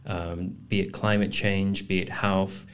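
A man talks as a podcast guest. His voice sits low, and he speaks earnestly, pausing over his words as he works out his thoughts. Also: level tone, clear, resonant tone. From north of England. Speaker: podcast guest, communications manager. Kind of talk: casual podcast interview.

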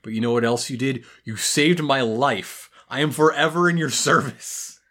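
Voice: medium pitch, normal speed, fairly bright tone. A podcast co-host casually recapping a story, with dry asides and acted-out quotes. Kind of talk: casual, wry episode recap.

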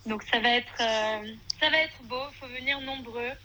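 A woman's voice: fairly high pitch, normal speed, bright, forceful tone. A woman from Switzerland, a singer chatting casually and enthusiastically as a guest over an internet call. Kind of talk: casual chat. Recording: noisy remote call.

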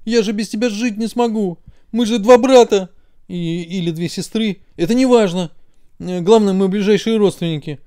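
A man's voice: sits high, moderate pace, mellow, clean tone, pitched above the performer's own voice, natural voice. A man voicing a tearful, pleading left ear in a children's story. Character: weepy clingy left ear.

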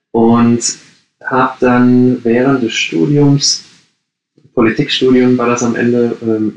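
A man in his late thirties from the Ruhr area, answering interview questions casually and with many hesitations. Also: mid-range voice. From Ruhr area, Germany. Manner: hesitant, many fillers and restarts. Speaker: coworking space co-founder, podcast guest. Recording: roomy echo.

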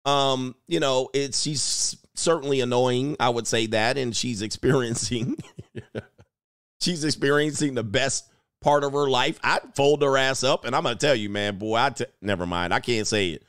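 A man's voice: medium pitch, moderate pace, a bit bright, smooth, no room sound, studio quality. A man giving casual, rambling reaction commentary, joking and trailing off mid-thought. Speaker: YouTube commentator.

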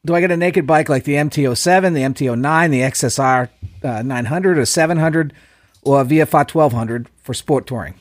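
A man with a New Jersey accent reads a listener's email on a podcast and keeps breaking into laughs. His voice sits a bit high. The sound is dry and close. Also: fairly quick, no echo. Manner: laughs through his reading, jokey. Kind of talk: reading an email aloud, casual chat.

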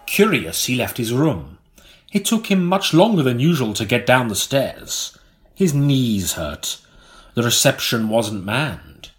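A man narrating an audiobook in a theatrical, melodramatic style, with dramatic pauses. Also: medium pitch, sing-song.

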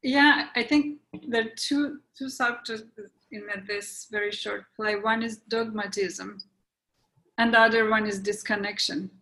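A woman playwright on a video call, talking about her play in a soft, measured way. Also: fairly high pitch, steady tone, poor audio. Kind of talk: casual group discussion.

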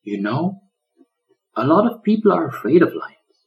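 A man voicing a gentle old lion in a storybook, solemn and loving like a shepherd, with a preaching delivery. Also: fairly high pitch, big pitch swings, normal speed, highs cut off, pitched above the performer's own voice. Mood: earnest, reassuring.

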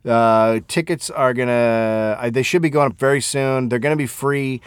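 A man's voice: mid-range voice, fairly even intonation, moderate pace, clear, resonant tone, no echo. A middle-aged American man co-hosting a comedy podcast, chatting casually, swearing freely and acting out quotes as he tells stories. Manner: profane, acts out quotes. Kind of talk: casual chat and comic storytelling.

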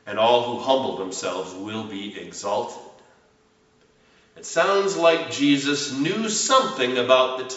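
A man preaching a sermon as a pastor, with emphatic, deliberate phrasing and dramatic pauses. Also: medium pitch, fairly bright tone. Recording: roomy echo, distant mic, slightly muffled.

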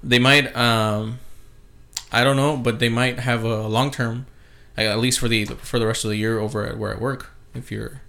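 A man's voice: mid-range voice, fairly even intonation, moderate pace, bright, forceful tone. A man hosting a casual podcast chat, laid-back, swearing often and laughing easily. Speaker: podcast host. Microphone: podcast mic.

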